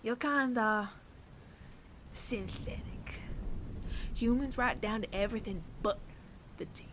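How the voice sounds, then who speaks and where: A man playing a menacing, world-weary bounty hunter of androids in an ASMR audio roleplay, taunting the listener with deliberate pauses and often sinking to a near whisper. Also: high-pitched, noisy background. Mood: musing, sardonic. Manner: slow, deliberate, taunting, with long dramatic pauses.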